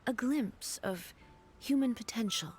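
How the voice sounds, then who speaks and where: An actress playing a solemn, regal female god-shogun in a video game, speaking in a measured, formal and grave manner. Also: on the high side, a little mellow, slight background noise, close to an everyday voice. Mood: solemn, reflective. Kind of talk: dramatic video game voice acting.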